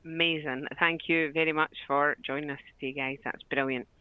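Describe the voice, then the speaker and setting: A woman's voice: low voice, fairly even intonation, brisk, smooth, no echo. A woman hosting an online business webinar, chatty and full of fillers over a video call. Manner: chatty, many fillers and restarts. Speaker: webinar host. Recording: tinny, dry phone-like line.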